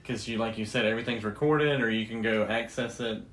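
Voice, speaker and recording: A man's voice: fairly low pitch, brisk, fairly bright tone, slight background noise. A middle-aged American man chatting casually as a podcast co-host. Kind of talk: casual chat.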